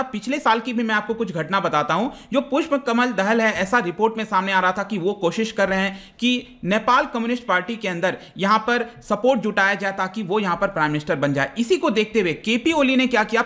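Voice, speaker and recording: A man's voice: high voice, a bit bright. A young man explaining news to exam students over slides, speaking directly to viewers and mixing in English terms. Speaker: current affairs teacher.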